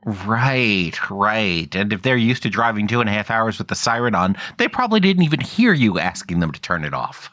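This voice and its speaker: A man hosting an interview, asking measured questions and explaining medical terms thoughtfully. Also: fairly low pitch, big pitch swings, a bit bright. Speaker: podcast host and stroke survivor.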